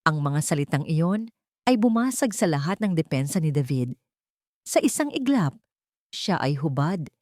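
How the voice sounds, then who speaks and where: Filipina woman reading a drama audiobook, slightly low voice, very dry close sound, expressive storytelling. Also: moderate pace, long pauses, dry recording. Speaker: audiobook narrator. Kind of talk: dramatic scripted story narration.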